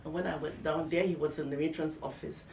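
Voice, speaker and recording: A woman's voice: sits low, fairly even intonation, fast talker, creaky, a little mellow. An older woman telling personal memories in an interview, emphatically, often acting out what was said. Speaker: interviewee, former nurse. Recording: echoey room, distant mic, faint noise.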